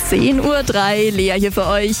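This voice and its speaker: A woman's voice: mid-range voice, unhurried. A woman presenting weather, traffic and music on a regional radio station, chatty and direct with her listeners, slightly stuffy from a cold. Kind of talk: upbeat radio moderation.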